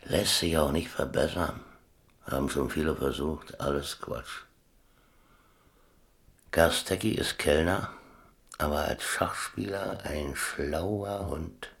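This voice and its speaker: An old sailor looking back on his life in a radio play. The voice is extremely deep and full of gravelly creak. He talks slowly, musing and wry, in plain colloquial words. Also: a bit bright, full character voice. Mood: musing, amused. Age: older. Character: old seaman reminiscing about chess, wry, rambling storyteller. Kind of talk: radio play monologue, colloquial first-person storytelling.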